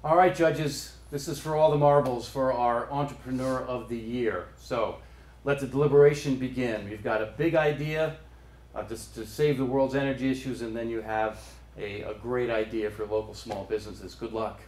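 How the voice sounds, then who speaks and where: A man's voice: medium pitch, steady tone, moderate pace, a little mellow. A man hosting a live competition in an upbeat, encouraging manner, moving contestants and judges along. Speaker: competition host. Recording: roomy echo with faint background noise.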